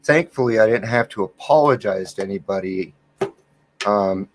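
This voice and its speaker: A man hosting a recovery livestream, talking softly in a candid, rambling way while unwell and worn out. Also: fairly low pitch, normal speed, noisy background. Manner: rambling, candid, self-deprecating, many restarts. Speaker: livestream host of a recovery reflection. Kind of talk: casual livestream chat. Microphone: computer mic with gain turned up.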